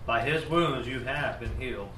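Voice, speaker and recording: A man from the class slowly and carefully reading a Bible passage aloud. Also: mid-range voice, pushed and bright. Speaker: class member reading scripture. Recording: distant mic in an echoey room, faint noise.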